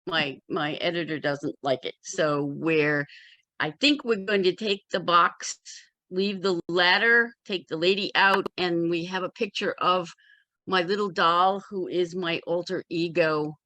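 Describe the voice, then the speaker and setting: A woman's voice: on the low side, a little slow, pushed and bright, no echo. A woman chatting casually in a group as an author, with a candid, wry way of talking. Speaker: memoir author in a community discussion.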